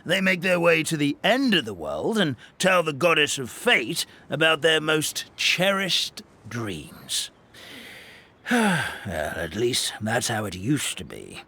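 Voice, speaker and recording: Forgetful, animated old grandpa. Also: male voice, a little high, husky, exaggerated voice. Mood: reminiscing. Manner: halting, rambling, theatrical storytelling with stammered repeats. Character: forgetful kindly elderly paper forest dweller, grandfatherly. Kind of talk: video game character storytelling. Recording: studio voice over background music.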